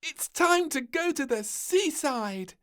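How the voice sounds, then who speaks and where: A man voicing an excitable little girl princess in a storybook reading, squealing and eager. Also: very high voice, takes it slowly, pitched above the performer's own voice, exaggerated voice. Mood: excited.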